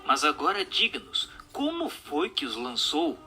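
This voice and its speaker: A formal, inquisitive séance investigator, acted by a man in a radio drama. His delivery is very bright and forceful, with lively swings in pitch, and music plays softly behind his measured, scripted words. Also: heavily acted. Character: formal, inquisitive séance questioner and narrator, male. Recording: music under the voice.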